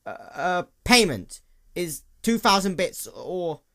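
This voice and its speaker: Male reader as young pony ticket clerk, storybook fantasy: jittery, halting, stammering, animated pitch. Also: vocal fry, heavily acted. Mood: nervous, hesitant. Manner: hesitant, stammering.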